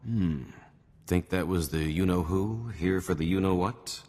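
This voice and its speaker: An actor playing a sly, greedy, streetwise mercenary sidekick in a video game. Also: male voice, deep voice, a little slow, darkish tone, in a large hall. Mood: sly, amused.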